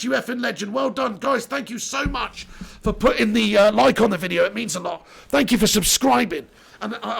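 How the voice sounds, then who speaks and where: Hoarse, gravelly-voiced football fan. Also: male voice, high voice, a bit bright. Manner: swearing, blunt and exasperated. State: losing his voice, hoarse. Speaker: fan channel host reading live chat. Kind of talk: live stream chat reading and rant.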